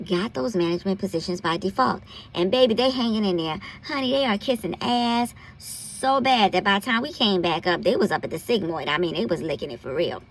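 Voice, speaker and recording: A woman's voice: mid-range voice, rough recording. An American woman hosting an informal health commentary, giving candid advice in a blunt, salty, folksy way. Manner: blunt, profanity-laced, folksy terms of address. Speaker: health commentary host and nurse practitioner.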